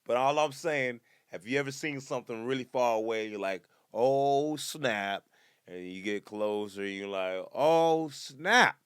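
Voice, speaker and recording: A man in his twenties doing a casual comedic podcast monologue, animated and breaking into loud high-pitched bursts when he acts out other people. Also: a little slow, smooth, dry recording, clean studio sound. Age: 20s.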